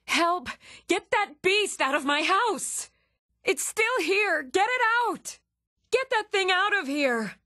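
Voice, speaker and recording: An actress voicing an even-toned young Nordic townswoman and warrior in a fantasy video game, measured and clearly spoken. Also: very high-pitched, dry recording, studio quality, full character voice. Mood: panicked. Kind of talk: scripted video game dialogue.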